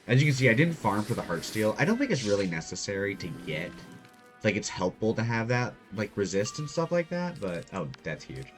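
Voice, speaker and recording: Young man, deep voice, quiet and laid-back, narrating his game play. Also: big pitch swings, brisk, soft background music. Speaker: gaming channel host. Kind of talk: casual gameplay commentary.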